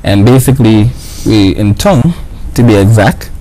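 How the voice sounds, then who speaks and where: A man's voice: lowish voice, expressive, moderate pace, mellow. A man answering interview questions casually and earnestly, repeating words and often adding "you know what I mean". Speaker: professional basketball player, interview guest. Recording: clearly noisy background.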